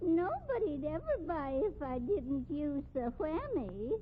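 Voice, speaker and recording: A woman's voice: sits high, sing-song, slow, heavily acted. An actress in a 1950s radio drama playing a pitiful, pestering female street flower seller with plaintive, folksy, uneducated speech. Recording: tinny, noisy old radio recording.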